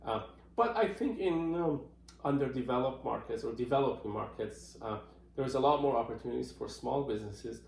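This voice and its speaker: A middle-aged man from Iran answering interview questions in a thoughtful, unhurried way, with many fillers and restarts. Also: mid-range voice, animated, vocal fry, a little mellow, slight background noise. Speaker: interviewee, marketing professional. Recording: roomy echoey room.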